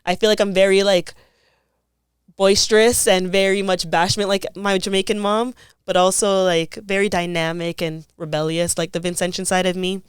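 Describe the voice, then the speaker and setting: A woman's voice: mid-range voice, little pitch movement, normal speed, smooth, dry recording, studio quality. A young woman, speaking as an interview guest. She talks in a thoughtful, casual way and often says "like.". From Toronto, Canada. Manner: thoughtful, frequent "like" fillers.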